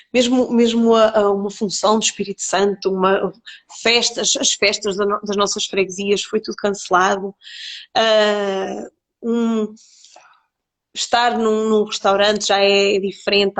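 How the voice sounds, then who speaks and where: A woman with a Coimbra Portuguese accent tinged with Porto and Terceira, speaking thoughtfully as an interview guest, with many pauses and repeated words. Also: on the high side. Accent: Coimbra Portuguese mixed with Porto and Terceira. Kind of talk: reflective conversational interview.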